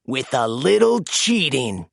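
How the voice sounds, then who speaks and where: Storybook villain: jealous, scheming male monster truck, voiced by a reader, lively swings in pitch, unhurried. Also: vocal fry, dry recording, studio quality, heavily acted. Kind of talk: children's storybook character voice.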